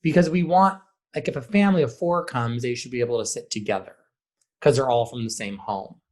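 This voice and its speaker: A man's voice: mid-range voice, a little fast. An American man speaking as a theater director guest in a conversational podcast interview, explaining animatedly and acting out bits of dialogue. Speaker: high school theater program director and guest.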